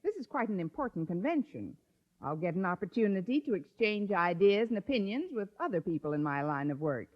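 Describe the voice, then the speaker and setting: An actress playing a wisecracking, sardonic high school English teacher in a 1950s radio sitcom, with dry, crisp comic timing. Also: female voice, very animated, fairly quick. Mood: wry, cheerful. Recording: dull lo-fi 1950s radio recording.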